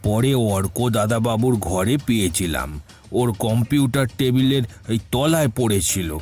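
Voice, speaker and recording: Man acting a slow, halting, anxious servant. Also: medium pitch, lively intonation, a little mellow, soft background music, lightly acted. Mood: nervous, evasive. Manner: halting, stammering, deferential. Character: anxious, pleading older male household servant under questioning. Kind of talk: audio detective story acting.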